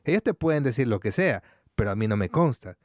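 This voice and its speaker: A man's voice: mid-range voice, very animated, quick pace, no echo, clean studio sound. A man from Ecuador hosting a podcast interview, chatty, with frequent affirming interjections. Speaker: podcast host.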